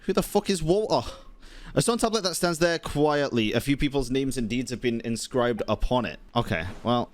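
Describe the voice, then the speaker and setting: Animated young man streaming a game. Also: mid-range voice, moderate pace. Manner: informal, sweary, reactive. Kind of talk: casual reaction commentary.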